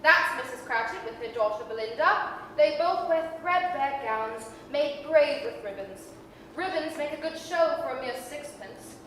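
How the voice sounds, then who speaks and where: A woman's voice: sits high, animated, measured pace, fairly bright tone. A woman playing a grand, commanding, hearty spirit in a radio-style staged reading. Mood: hearty, instructive. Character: grand, commanding, hearty Ghost of Christmas Present. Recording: echoey hall, distant, faint hiss.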